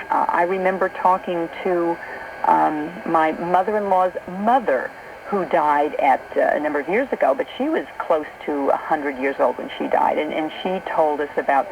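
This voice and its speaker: A woman's voice: deep voice, gravelly. A middle-aged American woman hosting a radio talk show, speaking in a chatty, informal, anecdotal way over a noisy shortwave recording. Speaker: radio host. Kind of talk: conversational late-night radio talk.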